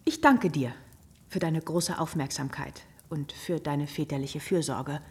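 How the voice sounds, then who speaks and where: An actress playing a proud, coolly polite young gentlewoman in a radio drama, formal and firmly controlled. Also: low-pitched, a little slow. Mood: coolly determined.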